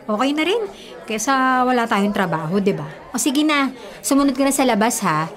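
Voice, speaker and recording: Chatty Filipino bar waitress. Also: female voice, on the high side, big pitch swings, fairly quick. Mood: reassuring, chatty. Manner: chatty, coaxing. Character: chatty bar waitress, encouraging, down-to-earth woman friend. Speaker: radio drama actor. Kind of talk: Filipino radio drama acting, colloquial. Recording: music and crowd chatter behind.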